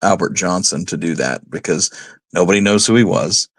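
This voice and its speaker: A man's voice: low-pitched, normal speed, no echo. A man co-hosting a radio show, chatting casually with questions and comments.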